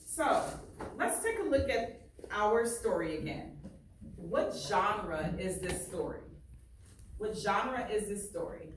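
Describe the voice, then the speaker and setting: A woman teacher reading aloud and questioning her class in a deliberate way, repeating phrases for emphasis. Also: medium pitch, animated. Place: indoor classroom. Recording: echoey room, far from mic, faint noise.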